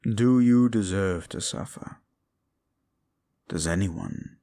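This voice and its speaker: A man voicing a gravelly brooding demon. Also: dark tone, heavily acted. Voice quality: deep gravelly voice. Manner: slow, brooding, scornful. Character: brooding fallen male demon, scornful and weary. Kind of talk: audio roleplay for a listener.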